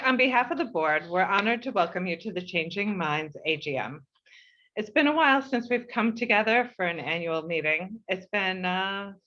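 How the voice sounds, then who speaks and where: A woman's voice: medium pitch, a bit bright, highs cut off. Heartfelt New woman reading a speech. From United States. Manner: measured and heartfelt, slowing for emphasis. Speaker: board chair. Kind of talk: reading a prepared speech at an online meeting.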